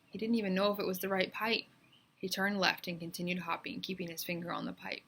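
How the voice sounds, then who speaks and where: A woman narrates a children's story like a teacher reading aloud. Her voice sits a little low but sounds bright and forceful. The delivery is expressive, deliberate and suspenseful. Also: vocal fry, husky.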